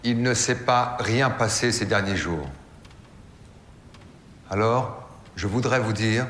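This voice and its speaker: An actor playing a politician giving a formal, solemn speech in a drama clip. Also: male voice, fairly low pitch, fairly bright tone, slight background noise. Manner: solemn, measured oratory. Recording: roomy reverb with faint ambience.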